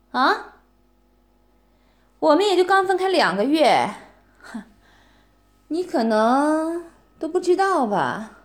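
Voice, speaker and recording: A woman's voice: high voice, exaggerated voice. A woman voicing a scornful young woman who mockingly berates her ex-boyfriend in a one-voice audio roleplay, sneering and full of insults. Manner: sneering, mocking, profane, keeps taunting with "啊？".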